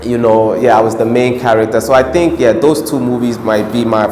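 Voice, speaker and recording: A man's voice: mid-range voice, brisk, background noise. American-accented man in an echoey room. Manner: relaxed, rambling with fillers and asides. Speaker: film actor. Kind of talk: casual interview answers.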